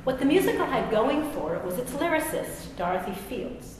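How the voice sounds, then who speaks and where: A woman's voice: high-pitched, sing-song, moderate pace. American woman, middle-aged, wry author at a live reading; very reverberant hall, faint noise. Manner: wry, self-deprecating, conversational. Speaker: author and English professor. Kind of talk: public reading of a personal essay, with asides.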